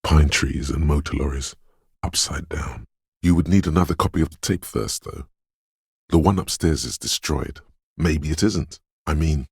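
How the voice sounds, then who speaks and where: A gravelly, abyss-deep inner voice. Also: male voice, no room sound, clean studio sound, heavily acted. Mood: cryptic, brooding. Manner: slow, solemn, cryptic, hushed intensity. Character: ominous inner voice of intuition and dreams, brooding. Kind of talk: dramatic game narration.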